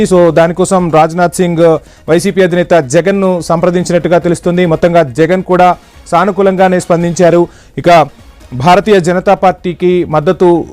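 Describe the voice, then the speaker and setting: A male news anchor, reading breaking political news in a brisk, formal broadcast manner. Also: high voice, a little mellow.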